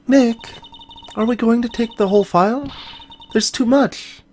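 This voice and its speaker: A woman's voice: fairly high pitch, unhurried, darkish tone, a little hiss or hum, lightly acted. Curious young sidekick girl played by a woman. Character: young female sidekick, curious, naive and playful. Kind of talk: fan voice acting of video game dialogue.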